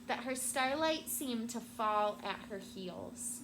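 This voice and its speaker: A woman's voice: a little high, lively intonation, slow pace. Woman teacher reading aloud, noisy recording. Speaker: elementary school teacher. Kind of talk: storybook read-aloud with questions to children. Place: indoor classroom with children.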